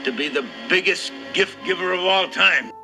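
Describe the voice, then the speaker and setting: An actor playing a kindly down-and-out man who longs to give gifts, speaking softly and wistfully in a scene from a classic TV series. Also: high-pitched, normal speed, gravelly, music in the background.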